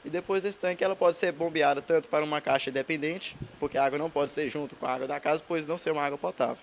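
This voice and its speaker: A man's voice: fairly high pitch, racing, a little hiss or hum. A teenage boy giving a technical explanation of a model house into a handheld mic. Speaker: student presenter.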